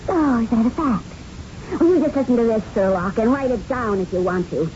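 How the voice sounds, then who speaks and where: Woman acting high-voiced, jealous young showgirl. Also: expressive, fairly quick, natural voice. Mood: menacing. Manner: sharp-tongued, intense. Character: jilted, fiercely possessive young chorus girl. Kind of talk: 1970s radio drama acting. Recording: muffled, faintly noisy old radio broadcast.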